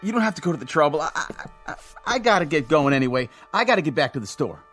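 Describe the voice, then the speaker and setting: A nervous small-town delivery man. He stammers and placates at every turn, and his pitch swings widely. There is faint music behind him. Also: big pitch swings, fast talker, a bit bright. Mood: uneasy. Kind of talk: audio drama acting.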